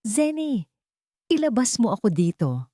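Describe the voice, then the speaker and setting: A woman's voice: fairly high pitch, sing-song, measured pace, dark tone, no echo, studio quality, voice pushed up. Woman voicing a frail, pleading elderly lady. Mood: desperate pleading. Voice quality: weak, broken, faint. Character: frail, desperate elderly lady held captive.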